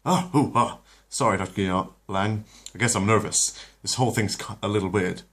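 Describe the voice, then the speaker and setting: A man's voice: on the low side, normal speed, a bit bright. A man doing a dry, wary talking cartoon dog.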